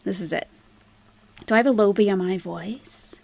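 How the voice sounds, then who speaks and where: A woman's voice: mid-range voice, moderate pace, vocal fry, no echo. A woman hosting a casual live commentary stream, explaining things emphatically to viewers and asking rhetorical questions. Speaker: livestream host, YouTube commentator.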